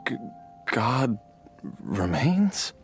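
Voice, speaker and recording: A man voicing a confused, frail father with a failing memory in a video game, husky and halting. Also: medium pitch, very animated. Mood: confused, struggling. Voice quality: husky, breathy. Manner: halting, dazed, very slow. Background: game music.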